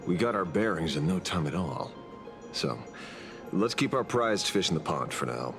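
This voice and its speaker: A man's voice: low-pitched, animated, normal speed. A man playing a sly, teasing veteran investigator in a video game, with a casual, wry and theatrical delivery. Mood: wry, suspicious. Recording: music behind the voice.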